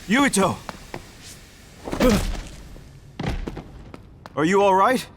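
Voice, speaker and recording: An actor playing a protective, self-assured military commander in a video game, firm and commanding. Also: male voice, high-pitched, lively intonation, normal speed, noisy background. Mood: concerned. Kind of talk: English video game cutscene dub.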